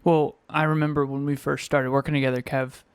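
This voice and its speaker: A man co-hosting a podcast in casual chat, talking reflectively and filling pauses with um, recorded at home with a fan running. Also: mid-range voice, little pitch movement, clean tone, no room sound. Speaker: podcast co-host.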